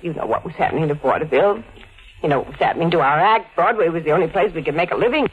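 An actress playing a brazen, seductive stage star in a 1940s radio thriller, theatrical and coaxing. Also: female voice, low-pitched, expressive, racing, husky, narrow, tinny audio, heavily acted. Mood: pleading, seductive. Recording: old radio drama recording.

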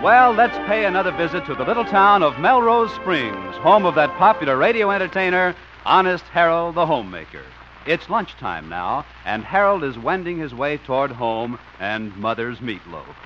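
A man's voice: on the high side, big pitch swings, normal speed. A male announcer introducing a 1950s radio sitcom in a formal, showy way. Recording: dull old radio with loud music.

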